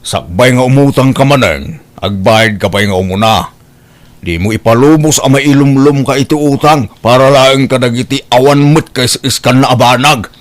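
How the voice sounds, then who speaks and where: A man's voice: mid-range voice, normal speed, lightly acted. Man acting worried, lecturing Ilocano father. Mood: admonishing. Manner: questioning, lecturing. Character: concerned, admonishing father of a grown daughter. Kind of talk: Ilocano radio-style comedy drama.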